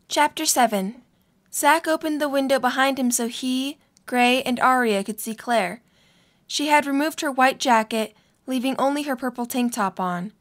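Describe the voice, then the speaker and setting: A woman reading scripted story narration as the narrator of an audio drama. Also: a little high.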